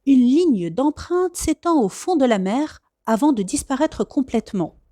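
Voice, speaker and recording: A woman reading a children's storybook aloud as expressive scripted narration. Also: high voice, unhurried, no room sound, clean studio sound. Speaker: storybook reader.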